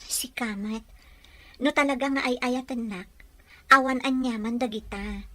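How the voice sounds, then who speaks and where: Woman playing bright, high-voiced young sweetheart. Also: fairly even intonation, a little slow, no echo. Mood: reassuring. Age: young adult. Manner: affectionate, reassuring. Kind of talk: scripted audio drama dialogue.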